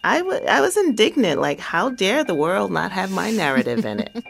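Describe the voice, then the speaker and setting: A woman author from South Carolina speaking in a measured, thoughtful way, with soft laughs between phrases. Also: mid-range voice, very animated, creaky, a little hiss or hum. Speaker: guest author. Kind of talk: reflective interview and talk excerpts.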